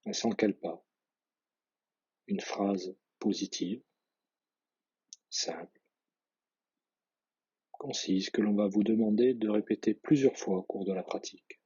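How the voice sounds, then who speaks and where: A man leads a guided relaxation meditation in a fairly low, husky voice. He gives measured, simple instructions and lets his phrases fall away softly. The recording sounds slightly dull and lo-fi. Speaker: yoga nidra guide.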